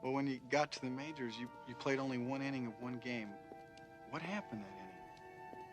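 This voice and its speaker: A man's voice: medium pitch, music in the background, lightly acted. Actor as an earnest, tentative American man. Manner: tentative, halting questioning. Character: earnest, curious American man visiting an old man. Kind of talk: film drama dialogue.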